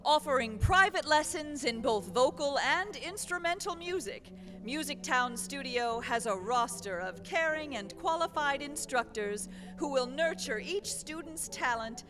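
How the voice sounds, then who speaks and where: High-voiced woman reading radio script. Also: expressive, unhurried, over music. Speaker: narrator. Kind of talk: scripted narration and sponsor read for a radio play.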